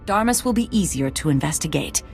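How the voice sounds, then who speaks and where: An actress playing a composed military commander in a video game, formal, measured and authoritative. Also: female voice, deep voice, expressive, vocal fry. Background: game music.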